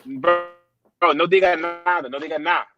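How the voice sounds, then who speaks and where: A man's voice: a little high, fairly even intonation, normal speed, muffled recording, music in the background. A man in casual group chat, brash and teasing, who keeps calling everyone bro. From Dominican Republic. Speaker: participant.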